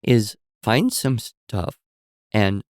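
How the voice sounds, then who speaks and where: A man co-hosting a podcast, telling stories in a relaxed conversational way and explaining with examples and asides. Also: fairly low pitch, very slow, dry recording, studio quality. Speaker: podcast co-host. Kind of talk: conversational storytelling.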